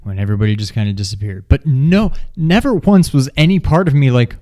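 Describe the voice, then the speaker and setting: A man co-hosting a movie review in a casual chat, with informal, halting phrasing. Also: mid-range voice, expressive, warm tone. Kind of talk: casual chat, film discussion.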